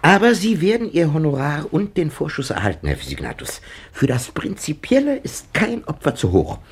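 An actor playing a pompous, self-righteous dentist of high principle in a German radio play. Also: male voice, huge pitch swings, moderate pace, husky, exaggerated voice.

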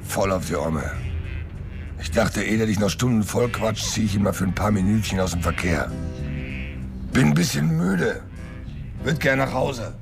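A man's voice: on the low side, animated, moderate pace, gravelly, pauses often, over music. An actor playing a tired, tough man in a German radio crime drama, dry, slangy and understated. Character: laconic, tired, tough male rescuer.

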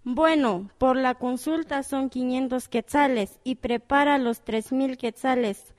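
A woman with a Guatemalan accent acting a polite, matter-of-fact doctor in an amateur radio drama, speaking formally and deliberately. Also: high-pitched. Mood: serious, explaining. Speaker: actor in community radio drama.

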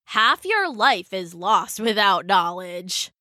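A woman's voice: medium pitch, animated, takes it slowly, bright, forceful tone, no echo, clean studio sound, pitched below the performer's own voice. A woman voicing a smug, fussy know-it-all boy who hesitates and then corrects people, in a storybook narration.

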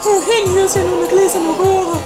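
A woman acts out an extremely high-voiced lady adventurer in a trashy comedy radio play. She is bossy, flippant and theatrical, with music strongly present behind her. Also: fairly even intonation, normal speed. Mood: uneasy. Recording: constant music under the voice.

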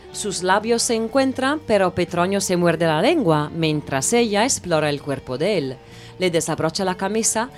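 A woman playing a wry, excitable narrator in an audio drama, telling the tale like a running commentary. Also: medium pitch, natural voice. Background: music.

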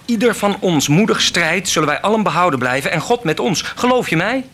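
An actor playing a militant baker who preaches to the poor with insistent, commanding oratory in a radio drama. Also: male voice, high voice, lively intonation, a little fast, sharp tone, exaggerated voice. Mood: prophetic, persuasive. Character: militant baker, rabble-rousing prophet of the poor. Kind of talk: theatrical radio drama acting.

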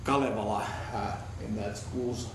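A man's voice: on the low side, steady tone. Finnish-accented man lecturing from a distance. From Finland. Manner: halting, many restarts and repeated words. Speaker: guest lecturer, linguistics professor. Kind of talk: academic guest lecture. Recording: echoey room, distant mic, noisy.

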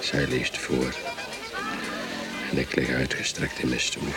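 A man's voice: rumbling low, monotone, slow pace, over loud music. An actor in a radio play voicing a pushy, eccentric, overfamiliar man who is bossy and drifts into dreamy musing.

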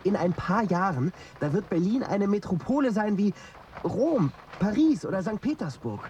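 An earnest young student son. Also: male voice, high voice, very animated, moderate pace, darkish tone, lo-fi sound, a little hiss or hum. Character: young male student son, hurt and timid. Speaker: narrator and actor in radio play. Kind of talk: radio drama narration.

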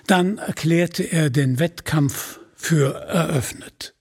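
A man with a husky voice narrating an adventure tale for a radio play in a measured, expressive storytelling manner. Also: on the high side.

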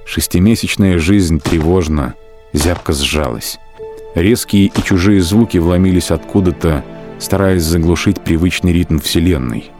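Deep low guy reading dramatic war fiction, measured and emphatic, music underneath. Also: darkish tone. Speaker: narrator. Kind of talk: dramatic literary narration for radio. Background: music under the narration.

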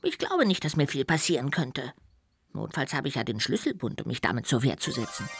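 A woman's voice: very deep voice, big pitch swings, brisk, faint music behind, full character voice. A woman playing a playful, naive little ghost in a children's radio play, cheeky and childlike. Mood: playful, defiant.

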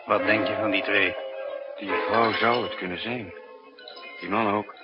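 An actor as a low-voiced, suspicious agent. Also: male voice, fairly even intonation, unhurried, lightly acted. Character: terse, suspicious male enemy agent on watch. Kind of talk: 1960s Dutch radio play acting. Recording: dull 1960s radio, loud music behind.

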